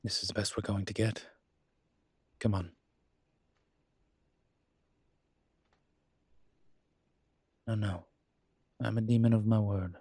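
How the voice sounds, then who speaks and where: Casting: male actor for a smooth-talking incubus demon in audio drama; very low, husky voice, unhurried sardonic delivery. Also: little pitch movement, warm tone, dry recording. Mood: firm, wry. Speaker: audio roleplay character. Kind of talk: dramatic character roleplay acting.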